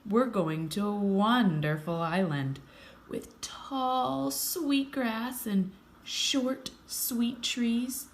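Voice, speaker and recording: A woman's voice: fairly high pitch, very slow, voice pushed up. A woman playing a female deer ship captain in a storybook, genteel and earnest. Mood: eager, welcoming. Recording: roomy echo, faint background noise.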